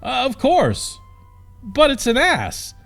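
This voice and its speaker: A man's voice: very high voice, wildly swooping pitch, very slow, creaky, a bit bright, soft background music, voice pushed up, exaggerated voice. A man voicing a cocky, flippant, bumbling knight with a breezy, wisecracking manner in a comic fantasy audiobook. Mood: urgent, flippant.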